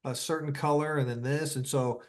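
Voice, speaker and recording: A man speaking casually as a guest author on a panel, in an informal, halting way with restarted phrases. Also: medium pitch, fairly even intonation. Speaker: science fiction author, guest.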